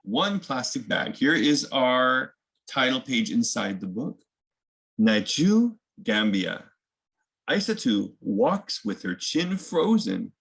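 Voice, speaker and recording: A man's voice: mid-range voice, big pitch swings, takes it slowly, creaky, a bit bright. A man hosting a children's picture book read-aloud, mixing story narration with casual explanatory asides.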